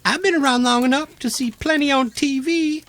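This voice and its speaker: A man's voice: very high voice, normal speed, fairly bright tone, no room sound. A man voicing a sassy, streetwise young female camp counselor in an amateur radio play, with music behind.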